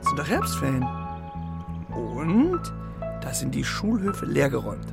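A cocky, streetwise little bird in a children's radio play, brash and greedy. The talk is slangy and full of exclamations, at a middling pitch, with loud music underneath. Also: wildly swooping pitch, a little slow, gravelly, full character voice. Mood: brash, eager.

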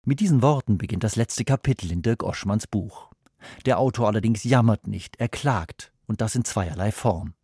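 A man, a book critic, reading his scripted radio review in a steady, analytical way. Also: fairly low pitch, moderate pace, breathy edge, dry recording, studio quality.

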